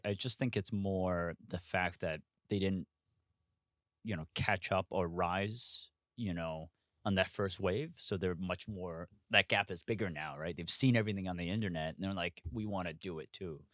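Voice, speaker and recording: Deep-voiced, candid male investor. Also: animated, moderate pace, a bit bright, no room sound, studio quality. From Hong Kong. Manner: candid, frank, with many restarts and asides. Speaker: investor guest. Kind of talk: casual interview conversation.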